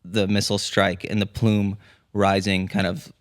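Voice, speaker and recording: A man's voice: low voice, level tone, normal speed, dry recording, studio quality. A man recounting events casually as a podcast co-host, hesitant and often repeating his words. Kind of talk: casual conversational storytelling.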